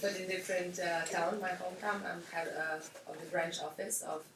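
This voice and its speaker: A woman's voice: fairly low pitch, fairly even intonation, a little fast, noisy background. A woman introduces herself as head of a branch office, hesitant with fillers. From Slovenia. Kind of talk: self-introduction in a group. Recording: echoey room, far mic.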